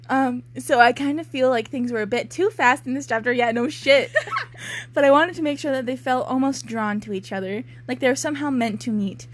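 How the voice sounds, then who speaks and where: A woman's voice: high voice, normal speed. A young woman co-hosting a podcast, casually reading amateur fiction aloud with sarcastic, self-mocking, giggly commentary. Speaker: podcast co-host. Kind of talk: casual joking dramatic reading.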